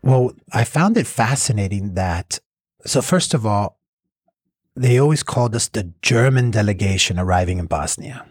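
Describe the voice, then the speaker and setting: Middle-aged man, slightly husky, lower voice, reflective interview answers. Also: animated, moderate pace, darkish tone, no echo, clean studio sound. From United States. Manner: thoughtful, reflective, with small restarts. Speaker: cross-cultural mediator.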